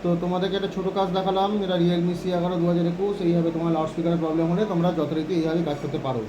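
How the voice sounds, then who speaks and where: Man, high voice, rapid informal tutorial delivery, frequent check-in tags, noisy room. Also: little pitch movement. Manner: repeatedly checks in with short tag questions. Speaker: phone repair instructor. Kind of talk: informal hands-on repair tutorial.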